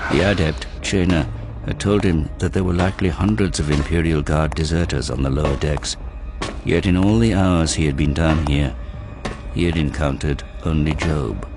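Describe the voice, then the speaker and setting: A man's voice: extremely low pitch, normal speed. A man giving grave, intense scripted narration for an audio drama. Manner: intense, grave, literary delivery. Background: prominent music and sound effects.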